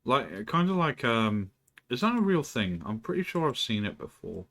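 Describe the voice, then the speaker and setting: A man streaming from home, chatting casually and rambling, who often clears his throat and swears. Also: mid-range voice, big pitch swings, moderate pace, a bit bright. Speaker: live streamer. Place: indoors at home.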